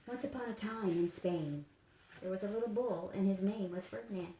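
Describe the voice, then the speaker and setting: Female storyteller for young kids, expressive read-aloud delivery, mid-pitched, recorded in an echoey room with faint noise. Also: normal speed. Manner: simple, animated storytelling addressed to young children. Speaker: therapy dog handler and volunteer reader.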